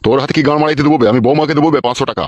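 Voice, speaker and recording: A man playing a gruff, distrustful, foul-mouthed father-in-law in a comic cartoon. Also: fairly high pitch, rapid-fire, no room sound, close to an everyday voice. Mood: scornful. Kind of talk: crude comic cartoon dialogue. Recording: muffled lo-fi with faint noise.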